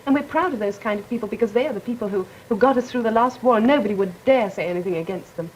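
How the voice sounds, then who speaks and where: Quick-talking young Englishwoman. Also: fairly high pitch, a little mellow, a little hiss or hum, poor audio. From England. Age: young adult. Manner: articulate, cultured diction. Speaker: student debater.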